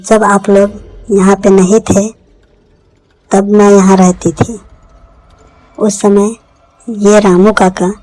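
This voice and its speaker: A woman's voice: medium pitch, mellow, lightly acted, rough recording. A woman playing a vengeful, menacing mother witch ghost in a horror cartoon.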